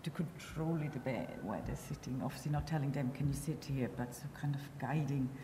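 Woman, filmmaker, hesitant panel answer, low voice, long hall reverb, faint noise. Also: a little fast, warm tone. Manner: hesitant, thinking aloud, with many fillers. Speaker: documentary filmmaker on a festival panel. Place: large hall at a panel table.

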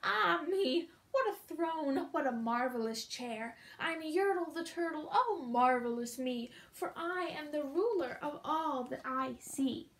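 Storybook turtle king acted by a woman, imperious, bellowing, high-pitched, slow grand delivery. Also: expressive, a bit bright, heavily acted. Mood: boastful delight. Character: pompous, boastful, bossy male turtle king. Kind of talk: children's storybook read-aloud.

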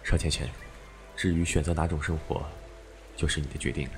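A man acting a calm, teasing and devoted supernatural fighter in a Chinese costume audio drama. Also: rumbling low, fairly quick, music in the background. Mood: solemn, gentle.

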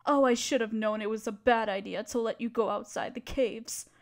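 Woman acting as a female giant spider queen, high soft voice, motherly, anxious, tender, pleading, audio roleplay. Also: steady tone, moderate pace, natural voice. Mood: worried, tender. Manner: tender, pleading, careful phrasing. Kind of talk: scripted audio roleplay addressed to listener.

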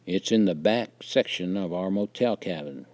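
A man voicing a stern, authoritative father in a story, speaking firmly and deliberately to his son. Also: deep voice, a little mellow, lightly acted. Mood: firm, instructing. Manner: firm, commanding, deliberate.

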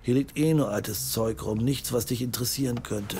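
A man's voice: mid-range voice, normal speed, very noisy, close to an everyday voice. An actor playing a dry, grumpy male novelist who speaks curtly, in a radio play. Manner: dry, curt.

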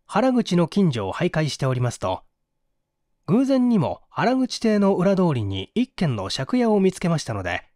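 A man reading a mystery story as dramatic narration, with measured, theatrical phrasing and deliberate pauses. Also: on the high side, darkish tone, dry recording, clean studio sound. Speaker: audiobook reader and small-theatre actor.

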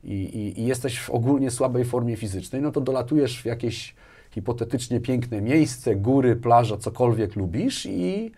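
A man's voice: medium pitch, animated, normal speed, mellow. A man around his forties giving conversational interview answers in a rambling way, repeating words and tagging phrases with little questions. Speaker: interview guest, corporate manager. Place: small furnished studio, indoors. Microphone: lapel mic.

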